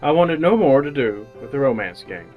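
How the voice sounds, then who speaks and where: A man's voice: mid-range voice, expressive, normal speed, narrow, tinny audio, soft background music, close to an everyday voice. An actor playing an earnest, jaded young ad man who narrates his misadventures in old-time radio drama style. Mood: wry, brooding.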